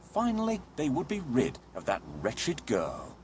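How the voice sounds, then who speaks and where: A man narrating a scripted children's fairy tale as an expressive, dramatic storyteller. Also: a little high, unhurried, slight background noise.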